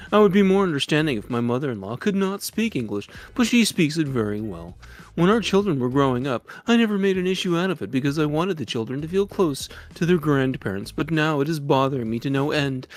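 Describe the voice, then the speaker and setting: A man's voice: a little high, expressive, quick pace. A man co-hosting a comedy advice show, casually chatting and reading letters aloud. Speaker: co-host reading viewer letters.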